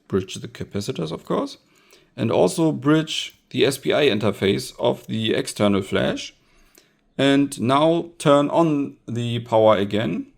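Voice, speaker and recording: A man's voice: mid-range voice, gravelly. A man giving a casual hands-on tech tutorial, thinking aloud as he demonstrates. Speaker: electronics hacker presenting own project.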